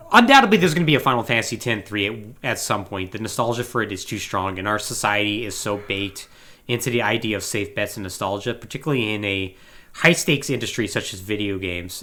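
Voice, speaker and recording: A podcast host chatting casually about video games in an animated way, with sarcastic asides. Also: mid-range voice. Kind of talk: casual video game discussion.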